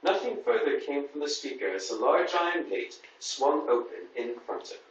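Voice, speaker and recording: A man's voice: on the high side, sing-song, normal speed, dull audio. A man giving a dramatic prose reading to a group, with casual banter in between. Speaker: reader at a writers' group reading. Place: echoey room with a group present. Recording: very echoey, noisy room, distant mic.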